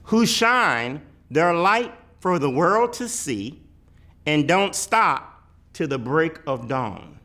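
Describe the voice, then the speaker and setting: A man giving a dramatic read-aloud of a poetic picture book, with deliberate, emphatic phrasing and weighty pauses. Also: on the high side, very animated, bright, forceful tone. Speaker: reader of a children's picture book.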